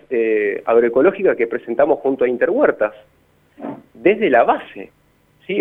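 Buenos Aires man on a tinny phone line. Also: mid-range voice. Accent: Buenos Aires Argentine Spanish. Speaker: community garden activist interviewed by phone. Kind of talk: spontaneous radio interview answers. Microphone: phone call.

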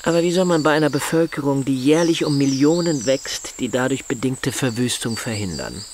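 A man's voice: mid-range voice, brisk, lightly acted. Man acting a bitter, sardonic husband, crickets chirping. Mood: scornful, gloomy. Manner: articulate, sardonic, condescending. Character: bitter, proud, paralysed upper-class husband in a wheelchair. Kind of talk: radio drama acting. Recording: chirping insect noise behind the voice.

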